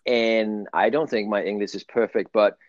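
A man's voice: fairly low pitch, steady tone, moderate pace, dry recording, lo-fi sound. A middle-aged man from Denmark with a Danish accent, talking in an earnest, rambling way in a casual spiritual conversation. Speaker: guest, spiritual channel.

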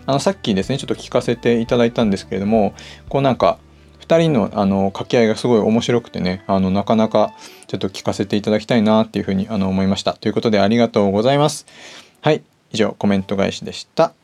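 Fast-talking man with a gravelly low voice. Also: darkish tone. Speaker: host of a personal audio show. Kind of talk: casual solo talk to listeners. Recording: music playing behind the voice. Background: background music.

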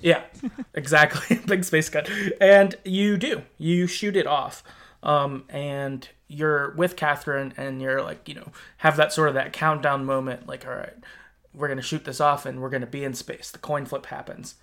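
A man's voice: fairly high pitch, fairly even intonation, normal speed, fairly bright tone. A man co-hosting a podcast, chatting casually and retelling a game's story with acted-out asides. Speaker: podcast co-host. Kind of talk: casual chat recapping a video game story.

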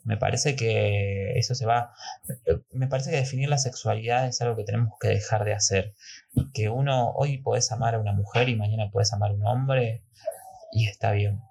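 Argentine guy with a gravelly creaky voice, podcast guest, explains his takes with real emphasis. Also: lowish voice, fairly even intonation, moderate pace, darkish tone. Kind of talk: conversational opinion and explanation.

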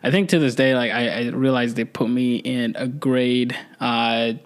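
A man in his twenties, originally from Somalia and a native Somali speaker, recounts his story as a podcast guest. He talks in an easygoing, rambling way, full of ums and likes, at a middle pitch for a man. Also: steady tone, unhurried, clear, resonant tone. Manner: easygoing storytelling, frequent fillers. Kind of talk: casual podcast conversation.

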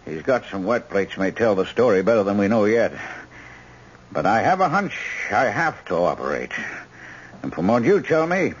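An actor playing a brisk, decisive hospital surgeon in a radio drama, with a commanding, clipped, pensive way of talking. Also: male voice, fairly low pitch, sing-song, slightly rough. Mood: businesslike. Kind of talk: 1970s radio drama acting. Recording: muffled old radio broadcast recording.

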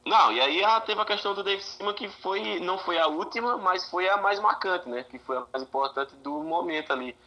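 A man's voice: high-pitched, expressive, brisk, hardly any pauses, pushed and bright, rough recording. A Brazilian man chatting casually, telling stories in a joking, slangy way and acting out the dialogue. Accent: Brazilian Portuguese. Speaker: podcast guest. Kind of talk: animated casual storytelling among friends.

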